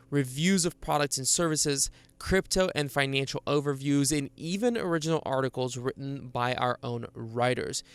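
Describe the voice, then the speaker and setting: Brief: young male podcast host, chatty and upbeat, smooth clear voice, dry close sound. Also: medium pitch, fairly bright tone, no echo. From Bulgaria. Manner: upbeat, chatty, many fillers like "uh" and "like". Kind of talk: casual interview chat with ad read.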